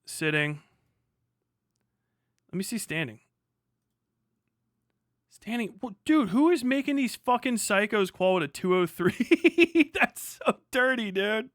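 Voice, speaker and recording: A man's voice: high-pitched, big pitch swings, slow pace, pauses often, a bit bright, no echo, clean studio sound. A middle-aged man giving casual, rambling reaction commentary as a livestream host, full of slang and swearing. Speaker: livestream host, former Marine.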